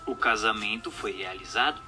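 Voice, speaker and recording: A man reading a scripted story as a narrator, in a measured, expressive dramatic style. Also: lowish voice, faint music behind. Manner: measured, expressive read delivery.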